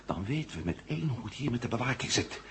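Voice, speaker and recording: A man acting in a 1970 Dutch radio drama as a resourceful leader who gives brisk, conspiratorial orders. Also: medium pitch, sing-song. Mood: urgent, scheming. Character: resourceful commanding male leader in a sci-fi adventure. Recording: noisy old radio drama recording.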